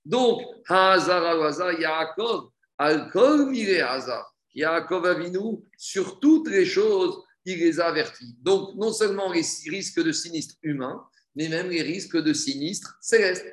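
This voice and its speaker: High-voiced man teaching in an echoey room. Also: expressive, a little slow, few pauses, fairly bright tone. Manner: didactic, asks and answers own questions, repeats phrases. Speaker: religious teacher giving a Talmud class. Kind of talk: explanatory Talmud lesson, reading a text and translating it.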